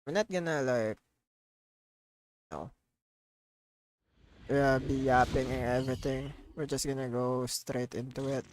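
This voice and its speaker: A man's voice: medium pitch, fairly even intonation, measured pace, pauses often, slight background noise. A man livestreaming a game, chatting casually in a rambling, repetitive, slangy way. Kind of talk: casual livestream chat over gameplay. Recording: loud game music behind. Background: game music and audio.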